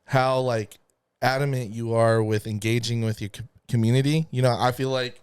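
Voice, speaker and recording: A man hosting a podcast interview, speaking slowly and hesitantly with many fillers. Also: mid-range voice, fairly even intonation, smooth. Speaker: podcast host.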